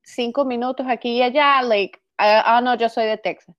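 A woman's voice: fairly high pitch, fairly even intonation, normal speed, dry recording, dull audio. A young woman with a Venezuelan accent, guesting in a casual interview over a laptop video call, telling her story with frequent laughs and some stumbles for words. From United States, raised in Venezuela. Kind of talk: casual interview chat, Spanglish.

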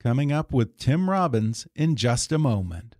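A man's voice: medium pitch, very animated, moderate pace. A man working as a podcast host, reading a scripted introduction into a close microphone.

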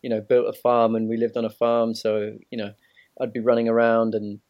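A man's voice: medium pitch, monotone, fairly quick, no room sound, dull audio. A man in his thirties from Zimbabwe, telling his story conversationally as an easygoing interview guest. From Zimbabwe, moved to England as a child. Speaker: podcast guest, ex-professional cricketer.

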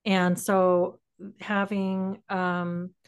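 A middle-aged American woman speaks in a voice pitched a little low for a woman. She is talking reflectively as a podcast host, feeling her way through each idea with halting, searching phrasing. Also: little pitch movement, clean tone. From Texas, United States. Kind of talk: reflective conversational discussion.

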